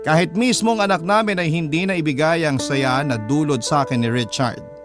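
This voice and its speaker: A male radio storyteller reads a first-person letter with emotive, confessional delivery. His pitch sits a little high for a man, and music plays clearly behind him. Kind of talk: dramatic first-person scripted narration of a listener's letter.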